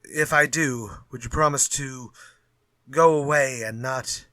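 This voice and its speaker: An actor playing a nervous, wheedling crime novelist in a retro radio drama, with an agitated, emphatic delivery. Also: male voice, mid-range voice, measured pace, pushed and bright, dry recording. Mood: anxious pleading.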